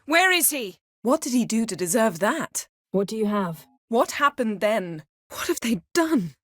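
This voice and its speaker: An actress playing a proud, sharp-tongued sorceress in a fantasy video game, speaking in a haughty, sardonic, clipped and articulate way. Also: female voice, a little high, sing-song, unhurried, no echo, studio quality, exaggerated voice. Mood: sharp, demanding.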